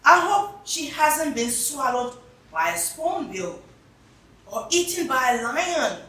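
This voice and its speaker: A woman's voice: sits high, lively intonation, takes it slowly, bright, forceful tone, pitched above the performer's own voice, full character voice. A woman voicing a curious, eager young girl in a children's storybook, exclaiming in a very echoey room. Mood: curious, concerned. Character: curious, eager young village girl, Hannah's helpful friend. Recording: very echoey room, distant mic, faint noise.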